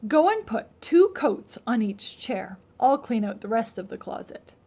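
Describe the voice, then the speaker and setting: A woman reading aloud as a cheerful, eager, literal-minded housekeeper in a children's storybook, brisk and matter-of-fact. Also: a little high, very animated, warm tone, exaggerated voice.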